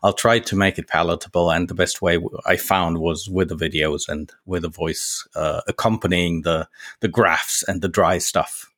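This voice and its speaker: A German man in his forties, a native German speaker, explaining things in a measured way with frequent restarts as a guest in a casual interview. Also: low-pitched, steady tone, fairly bright tone, no room sound, studio quality. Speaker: podcast guest, market research founder.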